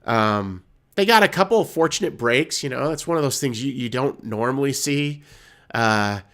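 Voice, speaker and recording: Man, slightly hoarse, casual postgame hockey host, rambling with fillers, mid pitch. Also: lively intonation, normal speed, a bit bright. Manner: rambling, many fillers and restarts. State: hoarse from illness.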